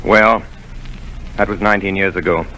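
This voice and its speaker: An actor narrating as a grown man looking back in a measured, brooding way. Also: deep voice, fairly even intonation, sharp tone, faint music behind, poor audio. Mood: reflective. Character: grown man recalling a boyhood adventure. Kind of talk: storytelling narration.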